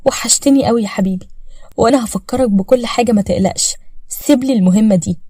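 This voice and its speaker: Casting: a woman as a jealous Egyptian wife, quick, shrill and bitter, in a dry close storybook reading. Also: warm tone, breathy edge, no room sound. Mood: tender. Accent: Egyptian Arabic. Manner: pleading, then shrill and raging. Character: distraught young wife, heartbroken then furious.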